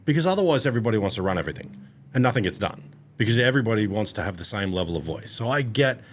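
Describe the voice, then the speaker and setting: A man's voice: lowish voice, lively intonation, racing, a little hiss or hum. A man hosting a casual podcast conversation, blunt and swearing casually. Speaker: podcast host.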